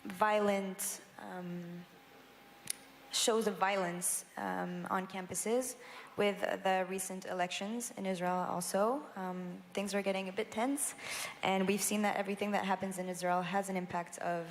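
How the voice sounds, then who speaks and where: A young woman from Brussels, a law student speaking on a panel, measured and with frequent filler sounds. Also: mid-range voice, fairly even intonation, a little hiss or hum. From Brussels, Belgium. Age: young adult. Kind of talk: panel talk. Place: large conference hall. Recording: reverberant conference hall, faint ambience.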